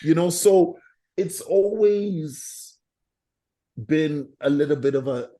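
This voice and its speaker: Canadian guy guesting on a podcast, warm mellow tone, rambles a lot. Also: fairly high pitch, expressive, takes it slowly. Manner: rambling, says "you know what I'm saying" often. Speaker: guest artist. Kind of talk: casual podcast interview.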